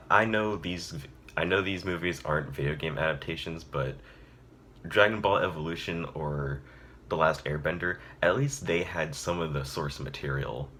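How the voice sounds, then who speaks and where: Very deep-voiced young man ranting. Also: bright, forceful tone. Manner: chatty, exasperated, filler-heavy with frequent laughs. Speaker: video reviewer. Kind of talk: casual movie review commentary.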